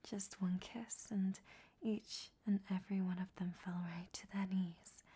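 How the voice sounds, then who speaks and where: Casting: actress as a seductive captive vampire woman for whispered ASMR roleplay, soft dark tone, unhurried, teasing delivery. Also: medium pitch, steady tone. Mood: admiring, smug. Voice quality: breathy whisper. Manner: slow, sultry, teasing. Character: flirtatious, teasing, captured female vampire.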